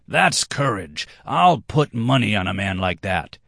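A man's voice: lowish voice, very animated, unhurried, creaky, a bit bright, dry recording, studio quality, pitched above the performer's own voice, heavily acted. A man voicing a drunken, boastful, hot-tempered red-haired banker.

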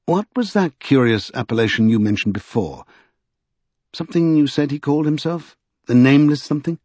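An eager, brisk gentleman magician from a storybook, acted by a male narrator. His pitch is lifted and slightly husky, his speech emphatic and persuasive. The sound is a little dull. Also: expressive, dry recording. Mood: curious.

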